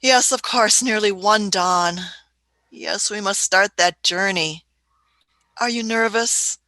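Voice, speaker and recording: A woman's voice: mid-range voice, slow, pushed and bright. A woman voicing an elderly female time traveler in a fan radio play, shrewd and fussy, often hesitating with "uh" and "hmm".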